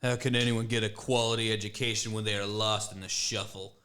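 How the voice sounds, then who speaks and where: A man's voice: fairly low pitch, level tone, a little fast, bright, forceful tone. A man playing an earnest young student who raises questions.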